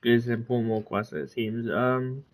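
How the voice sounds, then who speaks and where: A man giving casual, rambling, halting commentary on the game he is playing. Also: medium pitch, flat delivery. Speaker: gamer commentating on his play. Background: game music.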